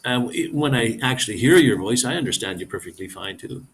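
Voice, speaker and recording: A man's voice: mid-range voice, animated, brisk. A middle-aged man hosting a casual live stream, rambling as he reads viewer comments aloud and answers them.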